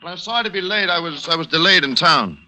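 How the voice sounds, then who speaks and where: A man's voice: on the high side, lively intonation, fast, bright, forceful tone, slight background noise. An actor playing an affable, unsuspecting male passenger with a chatty, questioning manner in a 1940s radio thriller. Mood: apologetic, eager. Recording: muffled old radio recording.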